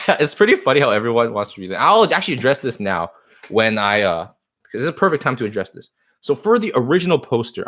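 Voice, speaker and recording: A man's voice: medium pitch, fairly bright tone. A man whose first language is Chinese, owner of a card shop, talking earnestly on a live stream and answering viewer comments in a rambling way, often repeating himself.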